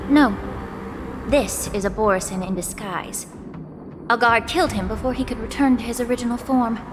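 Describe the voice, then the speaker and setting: An actress playing a composed female healer in a video game, formal and probing, polite with a quiet edge of resentment. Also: fairly high pitch, moderate pace, noisy background, natural voice. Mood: matter-of-fact. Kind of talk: scripted game dialogue. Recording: long reverb, game music underneath.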